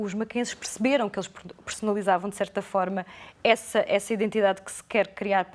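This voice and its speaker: A woman's voice: mid-range voice, a little mellow. A woman, guest on a television interview. She answers at length in a careful, hesitant manner, often restarting and repeating words as she builds her thoughts.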